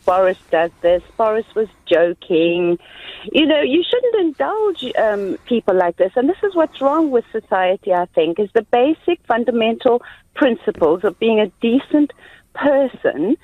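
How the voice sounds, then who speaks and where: Lady calling a radio show, earnest, highish lively voice, tinny phone sound. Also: no room sound. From South Africa. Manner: earnest, articulate. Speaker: caller. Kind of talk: phone-in call.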